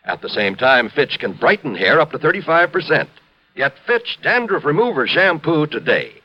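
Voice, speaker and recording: Highly animated American radio announcer, male. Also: moderate pace. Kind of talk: 1950s scripted commercial announcing. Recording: muffled vintage radio recording.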